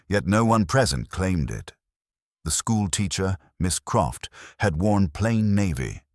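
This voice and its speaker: A man narrating a scripted detective story in a measured, literary storytelling manner. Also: low voice, no echo, clean studio sound.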